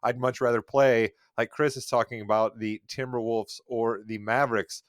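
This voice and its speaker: A man's voice: medium pitch, fairly even intonation, normal speed, clear, resonant tone, dry recording, studio quality. Rambling, self-deprecating male sports podcaster. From Northwest Indiana. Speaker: podcast co-host. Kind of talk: casual sports chat.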